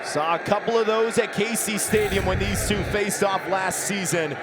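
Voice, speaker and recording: A man's voice: high-pitched, moderate pace, loud music behind the voice, a loud crowd. A man giving live sports analysis as a color commentator. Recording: loud stadium noise behind commentary.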